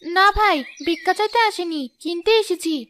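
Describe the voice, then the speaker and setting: A woman voicing a poor, tired mother in a cartoon who speaks softly and soothingly. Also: very high-pitched, moderate pace, a little hiss or hum. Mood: humble. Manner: soft, weary and soothing.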